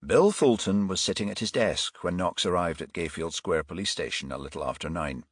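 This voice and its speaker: A man's voice: low-pitched, fairly quick, breathy edge, dry recording, clean studio sound. A man narrating a crime thriller audiobook in a scripted storytelling style.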